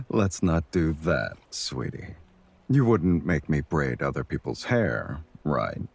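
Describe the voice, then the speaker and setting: A man's voice: deep voice, creaky, husky, heavily acted. A man voicing a confident, teasing, devoted romantic leader in a romance game, speaking in an intimate way. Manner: slow, teasing, intimate delivery. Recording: music behind the voice.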